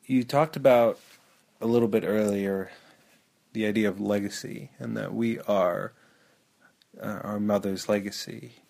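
A man hosting an interview podcast, asking measured, gentle questions. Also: lowish voice, fairly even intonation, vocal fry. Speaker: podcast host. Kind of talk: interview conversation.

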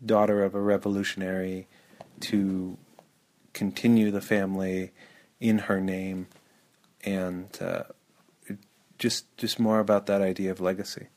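A man hosting an interview podcast, asking measured, gentle questions. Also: low-pitched, level tone. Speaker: podcast host. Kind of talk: interview conversation.

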